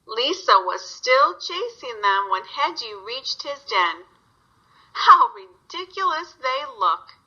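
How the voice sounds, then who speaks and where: A woman's voice: a little high, pushed and bright, highs cut off. A woman reading a story aloud to young children, guiding them with simple questions.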